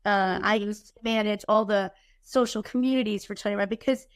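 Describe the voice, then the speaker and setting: A woman guest, her voice a little husky from a cold, chatting casually and candidly with a quick, joking manner. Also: mid-range voice, steady tone, no room sound, clean studio sound. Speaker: podcast guest, keynote speaker. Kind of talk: casual chat.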